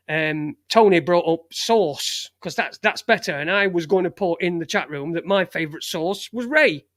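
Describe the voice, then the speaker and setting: A man's voice: high-pitched, a bit bright, dry recording, studio quality. A man in his forties with a Sheffield accent, hosting a live stream and chatting loosely to his chat room, swearing often and trailing off. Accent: Sheffield, Yorkshire. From England. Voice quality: chatty, swears freely, lots of fillers and restarts.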